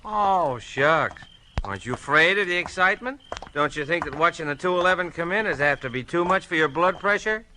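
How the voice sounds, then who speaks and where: A man's voice: a little high, animated, fairly quick, sharp tone, a little hiss or hum. A man playing a brash, slangy American small-town police deputy in a 1940s radio drama. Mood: joshing. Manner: folksy, slangy, joshing.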